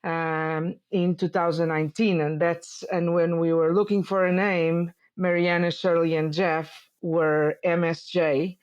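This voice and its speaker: A woman's voice: lowish voice, little pitch movement, a little slow, hardly any pauses, a little mellow. Portuguese-accented woman, dull lo-fi sound. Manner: hesitant, many fillers and restarts, laughs easily. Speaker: sheep dairy farmer, guest. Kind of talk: interview conversation.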